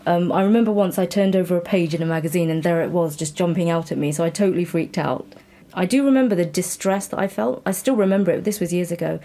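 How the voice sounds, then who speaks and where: A woman's voice: on the low side, fast, dark tone. A woman interviewer talking conversationally, candid and reflective as she asks questions and shares her own thoughts.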